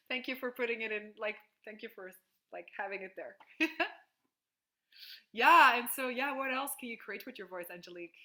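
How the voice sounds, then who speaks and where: Bright-voiced woman leading a video call. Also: on the high side, big pitch swings, moderate pace, pauses often. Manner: enthusiastic, frequent "like", laughs easily. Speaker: workshop facilitator. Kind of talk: casual group call coaching.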